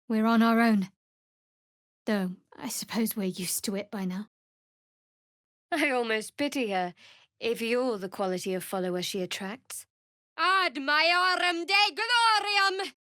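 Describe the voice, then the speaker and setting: A woman playing a guarded, sardonic young woman cleric of a dark goddess in a video game, with a dry manner. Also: fairly high pitch, no room sound. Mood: tense, bitter, then forceful incantation. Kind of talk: video game character voice acting.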